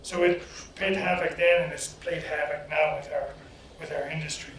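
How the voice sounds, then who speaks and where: A man hosting a story circle, speaking in a plain, halting way. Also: a little high, steady tone, a bit bright. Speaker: host. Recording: distant echoey room, faint noise.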